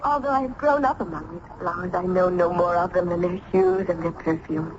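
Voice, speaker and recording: A woman's voice: lowish voice, fairly quick, dull audio, close to an everyday voice. Casting: woman as innocent young maiden, earnest and formal, old-fashioned diction, on a noisy vintage radio play recording. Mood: gentle, welcoming. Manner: earnest, formal, archaic phrasing. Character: gentle, innocent young maiden, a doctor's sheltered daughter. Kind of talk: old-time radio drama acting.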